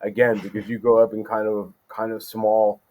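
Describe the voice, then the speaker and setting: A man's voice: lowish voice, flat delivery, normal speed, darkish tone, low-quality recording. A man speaking as a guest judge in a casual interview, thinking aloud with frequent ums and restarts.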